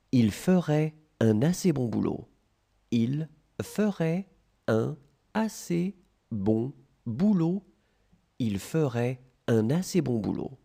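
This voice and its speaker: A language tutor voice reading short phrases in slow dictation, repeating each one and splitting it into chunks. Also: mid-range voice, vocal fry, warm tone.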